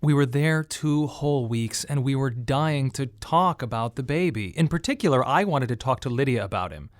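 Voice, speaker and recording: A medium-pitched male voice carries a first-person radio monologue as a grieving father played by an actor. He is plain-spoken and measured, with a wry, tense edge and deliberate pauses. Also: lively intonation, lightly acted. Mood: reflective. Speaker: narrator and lead of a monodrama.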